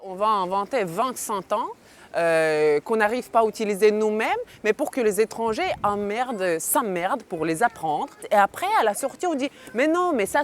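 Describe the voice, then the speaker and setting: A woman with a Ukrainian accent speaking in a documentary interview, animated and mocking, laughing easily. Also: on the high side, slight background noise.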